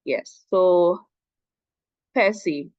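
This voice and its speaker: A woman from Ghana hosting an online professional seminar, making announcements in a measured way over a call. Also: fairly low pitch, dry recording. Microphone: online call audio. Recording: dull, dry call audio.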